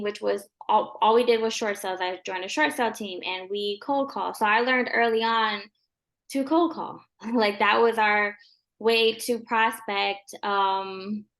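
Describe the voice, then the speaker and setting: A woman real estate agent speaking as a guest in a casual interview, with a soft voice and a relaxed, rambling way of talking full of ums and likes. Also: mid-range voice.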